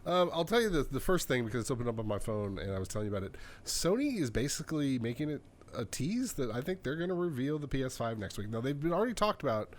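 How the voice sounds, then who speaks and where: A man's voice: mid-range voice, very animated, fast talker. A man co-hosting a podcast, telling stories and news in a conversational way, acting out bits of quoted dialogue. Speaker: podcast co-host. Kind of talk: casual banter and storytelling.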